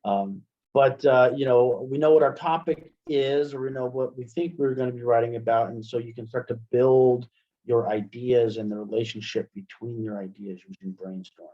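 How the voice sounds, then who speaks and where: A middle-aged man with an American accent walks through a software tutorial in an informal, halting manner. His voice is soft-edged and relaxed, and the sound is a little dull, as on a video call. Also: mid-range voice. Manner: informal, halting, checks in with "right?". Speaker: webinar presenter and instructor. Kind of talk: software tutorial, explaining step by step. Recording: muffled, dull computer-call audio.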